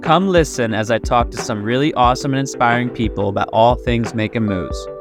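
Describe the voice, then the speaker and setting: A man's voice: medium pitch, brisk, over music. A young man hosting a podcast in an upbeat, casual interview style with a chummy, slangy way of talking. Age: young adult. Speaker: podcast host.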